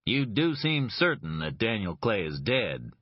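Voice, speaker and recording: A calm, hard-edged male private detective, read by the narrator, speaking firmly in a low, husky voice. The audio is dull and dry. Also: measured pace, no echo.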